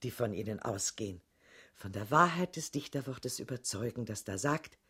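A formal radio-play presenter, a woman with a very low voice. She is solemn and measured, husky in tone, and lets her phrases rise and fall strongly. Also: expressive, bright, forceful tone, full character voice. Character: formal female radio presenter introducing a study. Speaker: radio announcer. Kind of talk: scripted radio play announcement.

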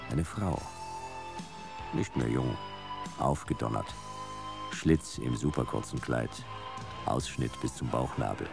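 A hard-boiled male detective with an extremely low, gravelly voice. He narrates a noir radio play in dry, clipped, deadpan phrases, with music clearly behind him. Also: exaggerated voice. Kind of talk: noir first-person narration in a radio drama.

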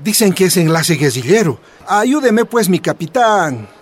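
A man's voice: high-pitched, sing-song, unhurried. A worried old grandfather. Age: older. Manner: hesitant, pleading.

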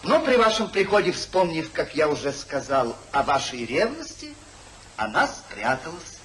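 An actor playing a hypocritical, evasive gentleman schemer with over-polite, theatrical speech in a 1950s radio comedy. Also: fairly high pitch, big pitch swings, moderate pace, rough recording. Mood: anxious, placating. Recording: hissy 1950s radio play recording.

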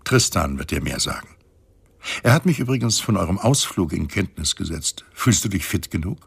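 An actor playing a dry, teasing space-station guide in a German science fiction radio drama, deadpan and bossy. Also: male voice, low voice, normal speed, gravelly, husky, heavily acted. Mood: amused.

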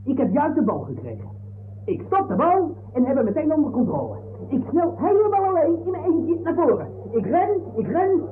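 A man playing an excitable, defiant football fan defending himself in a comic radio play. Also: extremely high pitch, normal speed, echoey room. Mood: excited. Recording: tinny, noisy 1960s radio recording.

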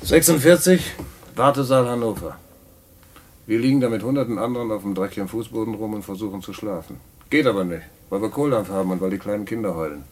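A man's voice: fairly low pitch, fast, a little hiss or hum, natural voice. An actor playing a worldly former racketeer, telling his story in a knowing, patronising way in a radio play. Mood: wry storytelling. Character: worldly male ex-racketeer fondly recounting his schemes. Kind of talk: radio play narration in dialogue.

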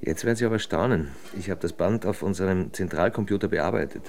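A smug male investigator in a radio drama. His voice sits fairly low with a gravelly creak. He reasons in a dry, pedantic, lecturing way, full of irony. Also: fast talker, a little mellow, slight background noise. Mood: smug, explaining. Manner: ironic, lecturing, pompous. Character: wry, pedantic male criminal investigator, smugly theorizing. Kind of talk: German radio drama dialogue.

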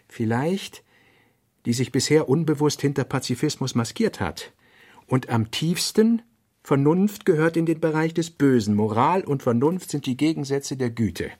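A male actor in a literary radio play, voicing a brooding thinker who works through sketched notes in halting, pensive fragments with emphatic bursts. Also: mid-range voice, expressive, darkish tone, breathy edge.

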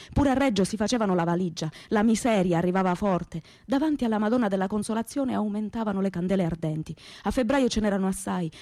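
A woman's voice: mid-range voice, dark tone, dry recording, clean studio sound, close to an everyday voice. An actress playing a devout young working-class woman with a Calabrian Italian accent, telling her story earnestly and emotively in a radio theatre monologue. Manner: emotive storytelling in plain, dialect-tinged popular speech.

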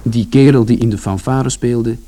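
A man's voice: medium pitch, fairly even intonation, moderate pace, mellow, slight background noise, close to an everyday voice. An actor playing a wry, sardonic first-person narrator in a radio play, telling his family story in blunt colloquial words. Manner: sardonic, colloquial, mildly swearing.

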